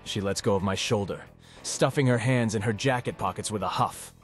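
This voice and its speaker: A man's voice: on the low side, brisk, soft background music, natural voice. A man playing an awkward, wry teenage boy in a visual novel, both narrating and speaking in dialogue.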